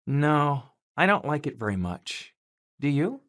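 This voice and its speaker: A voice actress plays a curious everyday conversation partner for an English lesson. Her intonation swings widely from a low base. The sound is dry and scripted. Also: very animated, measured pace, a bit bright, no room sound, exaggerated voice. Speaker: voice actor in English lesson dialogues. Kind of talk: scripted learner dialogue.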